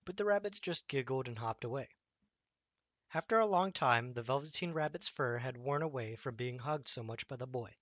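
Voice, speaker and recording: A man reading a children's bedtime story aloud as an expressive storyteller. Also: mid-range voice, moderate pace, no room sound, highs cut off.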